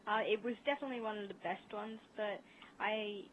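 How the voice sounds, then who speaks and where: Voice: mid-range voice, fairly even intonation, fairly quick, fairly bright tone. Casual gaming-discussion guest on a slightly noisy voice chat.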